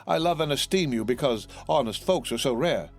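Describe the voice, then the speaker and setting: A man's voice: mid-range voice, expressive, normal speed, soft background music, pitched above the performer's own voice. A man voicing a grinning, envious young tailor in a storybook, effusive and over-familiar, repeating himself. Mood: sly, effusive. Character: grinning, wheedling tailor neighbor, slyly envious man in his twenties.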